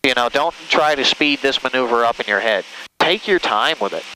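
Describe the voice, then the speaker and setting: A man's voice: medium pitch, animated, brisk. A man giving a hands-on flying lesson over a headset, coaching step by step, reassuring and often checking that the student follows. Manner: reassuring, repeatedly checks understanding with short tag questions. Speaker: flight instructor. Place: inside a small airplane in flight. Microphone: aviation headset. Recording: noisy small airplane cockpit, engine and radio. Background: aircraft engine drone, radio.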